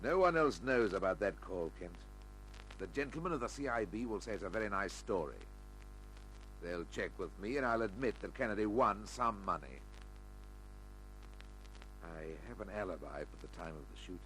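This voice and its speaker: A man's voice: lowish voice, animated, moderate pace, long pauses, fairly bright tone, soft background music, rough recording. An actor playing a cold, curt, menacing gunman in a 1950s radio crime drama. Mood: cold menace. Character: cold, menacing male crook with a gun.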